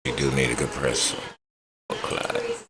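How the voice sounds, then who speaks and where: A man doing a slow, offhand mic check, mumbling test phrases as he tries out settings. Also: extremely low pitch, huge pitch swings.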